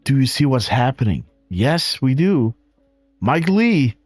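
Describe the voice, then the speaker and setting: Dutch-accented male stream host, unhurried but excitable, voice leaping up and down, dry close sound. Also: medium pitch, husky, dry recording, soft background music. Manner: excitable, exclaiming, talks to viewers as guys. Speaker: live show host. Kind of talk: casual live-stream commentary on images.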